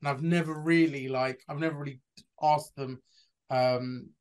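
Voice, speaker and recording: A man hosts a podcast interview, asking long questions that stumble and restart. He often apologizes mid-thought. His voice sits a little higher than most men's. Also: steady tone, normal speed. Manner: hesitant, apologetic, many restarts. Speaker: podcast host.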